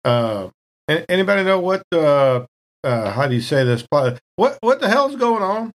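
Nervous, bewildered space crew member as a game player acts it out, stammering, with animated pitch swings. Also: moderate pace, lightly acted. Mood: flustered, bewildered. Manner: stammering, flustered.

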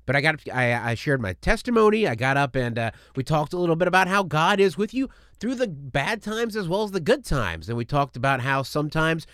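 A man hosting his podcast in a chatty, upbeat, rambling style, his voice a touch strained. His pitch sits fairly high and moves a lot. The studio sound is close and dry. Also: sing-song, brisk, few pauses, a bit bright, no room sound. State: slightly strained voice from allergies. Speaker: podcast host. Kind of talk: casual podcast monologue.

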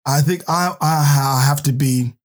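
A man's voice: on the high side, unhurried. A man hosting a podcast interview, chatty and laughing often, restarting his sentences. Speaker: podcast host.

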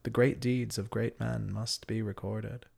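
A man's voice: fairly low pitch, little pitch movement, unhurried, creaky, darkish tone, husky, exaggerated voice. A man playing an earnest, friendly prince in a fantasy audio story, with a sincere and solemn manner.

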